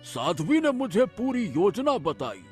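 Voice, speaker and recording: A man's voice: high-pitched, wildly swooping pitch, a little slow, faint music behind, exaggerated voice. A man voicing a murdered father's spirit in frog form, earnest and dramatic, in a cartoon story. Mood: earnest, explaining.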